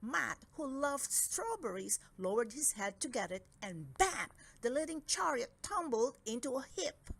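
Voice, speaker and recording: Female storybook narrator, slow read-aloud pacing, slightly high voice. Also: big pitch swings, gravelly, a bit bright. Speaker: storybook reader.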